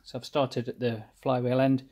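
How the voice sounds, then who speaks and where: Husky-voiced man explaining an engine build. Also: medium pitch, little pitch movement, dark tone. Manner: methodical, plain explanatory, pauses mid-phrase. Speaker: home mechanic rebuilding an engine. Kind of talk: hands-on workshop walkthrough. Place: cluttered home workshop bench.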